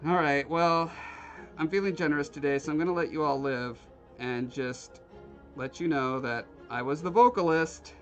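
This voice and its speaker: A man's voice: a little high, normal speed, muffled recording, faint music behind. A man from Alaska hosting a livestream, chatting casually and joking with his viewers while he carves. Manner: rambling, joking with live chat. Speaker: livestream host. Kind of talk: casual chat while carving.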